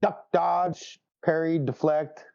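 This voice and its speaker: Gravelly, mellow man chatting at a tabletop game. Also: a little high, steady tone, slow pace, highs cut off. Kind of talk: casual game chat.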